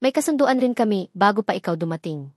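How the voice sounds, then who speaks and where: A woman voicing a sly young woman who speaks slowly and sweetly but with a cutting edge, read from a storybook. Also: medium pitch, animated, a little mellow, no room sound, studio quality, natural voice. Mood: needling. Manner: slow, honeyed speech with an edge.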